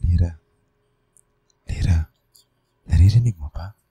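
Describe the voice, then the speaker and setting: A man's voice: sits low, takes it slowly, warm tone, faint music behind. A young man playing an uneasy student from the provinces in a Filipino radio drama, hesitant and earnest. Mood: frightened, earnest. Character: uneasy young male provincial student who senses ghosts.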